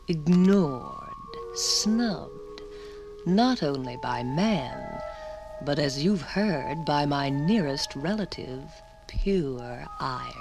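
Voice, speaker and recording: A man's voice: a little high, vocal fry, full character voice. A man playing a proud, long-snubbed talking rock, addressing the listener theatrically in 1950s radio drama style. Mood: aggrieved, self-important. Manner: theatrical, deliberate storytelling to the listener. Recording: 1950s radio LP, loud music behind.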